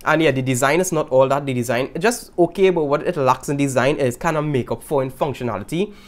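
A young man with a Trinidadian accent, hosting a casual video and talking to camera in an animated way from a small room. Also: medium pitch, fast. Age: young adult. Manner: animated, gesturing, laughing asides. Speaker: YouTube host. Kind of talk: casual vlog talk to camera. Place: small indoor room with guitars.

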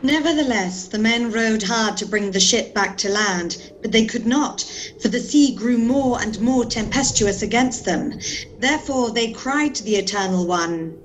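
A woman's voice: a little high, animated, unhurried, a little hiss or hum, rough recording. A woman in her thirties giving a scripted narration of scripture. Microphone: video call.

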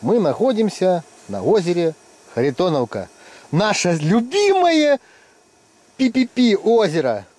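Joking man with a lively, high voice. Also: unhurried. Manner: joking, teasing friends, lively. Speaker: fishing vlogger. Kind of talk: casual commentary. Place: ice-fishing tent on a frozen lake.

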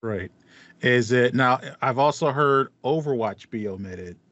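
A man's voice: mid-range voice, unhurried, fairly bright tone, no room sound. Guy hosting a gaming podcast, sympathetic, kinda outraged for his buddy, dry close sound, bit lo-fi. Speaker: podcast host. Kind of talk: casual podcast chat about gaming. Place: small room with acoustic foam, indoors. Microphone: headset on a video call. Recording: slightly dull, lo-fi online call.

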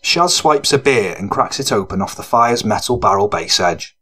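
A man narrates a gritty audiobook. His pitch is a little low, and he reads scripted prose as the storyteller. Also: animated, normal speed, a bit bright, husky.

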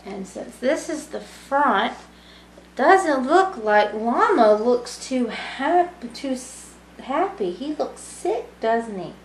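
A woman in her thirties reads a picture book aloud to young children in simple, child-directed talk. Her voice sits a little high and she takes her time. The room is echoey, with faint noise behind her. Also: big pitch swings, slow pace. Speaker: student volunteer reading a picture book to children. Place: small room with brick wall, indoors.

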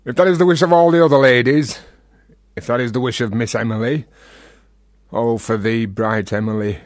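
An actor playing a flattering, teasing male companion in a Victorian household, with florid, archaic phrasing, in a period radio drama. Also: medium pitch, normal speed, dry recording, clean studio sound, close to an everyday voice. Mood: wounded, pleading.

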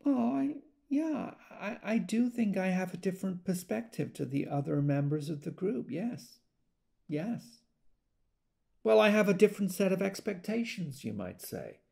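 An actor playing a gentle, earnest devotee of a self-help group in a hesitant audio drama monologue. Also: male voice, high-pitched, expressive, measured pace, vocal fry, exaggerated voice. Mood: hesitant, calm.

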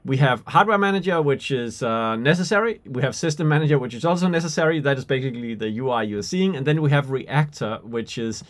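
A man in his forties giving an unscripted software tutorial, thinking aloud and halting as he demonstrates. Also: medium pitch, expressive. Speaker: product demonstrator.

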